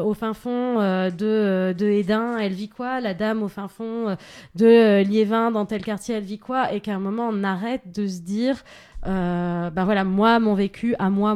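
A woman's voice: mid-range voice, darkish tone. A woman speaking on a radio panel, explaining her points earnestly and hesitating often with filler sounds. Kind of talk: radio discussion.

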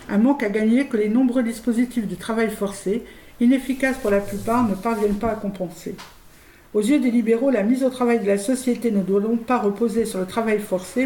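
Woman presenter, formal declamatory delivery, mellow higher voice, scripted commentary. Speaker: radio show presenter. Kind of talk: scripted satirical commentary read aloud. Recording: roomy, faint background noise.

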